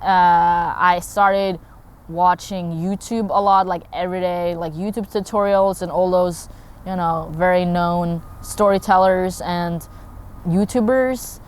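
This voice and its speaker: A woman's voice: on the low side, steady tone, takes it slowly, smooth. A young woman from Norway talking casually to camera about her work as a content creator, rambling with lots of fillers and restarts. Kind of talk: casual talking-head vlog.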